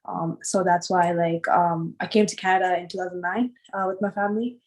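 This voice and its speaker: A woman's voice: on the low side, steady tone, brisk, low-quality recording. A woman in her twenties from Sri Lanka, answering interview questions casually with many fillers. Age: 20s. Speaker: guest artist.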